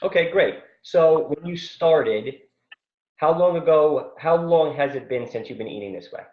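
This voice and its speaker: A man's voice: fairly high pitch, lively intonation, measured pace. A man interviewing a guest, asking plain, probing follow-up questions. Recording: dull, echoey room.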